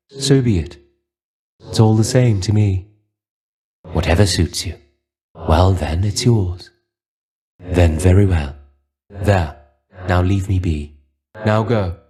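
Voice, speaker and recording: A man's voice: low-pitched, dark tone. A man voicing a weary, brooding dead Greek warrior in a video game, resigned and aloof, with formal, archaic phrasing. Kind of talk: video game character dialogue.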